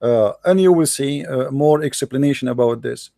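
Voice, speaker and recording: A man's voice: mid-range voice, moderate pace, vocal fry, no echo, clean studio sound. A man with an Arabic accent arguing hard in a religious debate, quoting sources and pressing his opponent. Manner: forceful, argumentative, quotes sources. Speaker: debate host.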